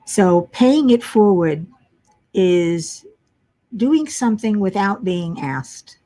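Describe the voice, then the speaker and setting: A middle-aged woman with a low voice, tired from lack of sleep, chatting casually to viewers as host of a crafting livestream. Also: warm tone, rough recording. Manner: chatty, muttering while searching for pieces. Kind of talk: casual live chat while diamond painting.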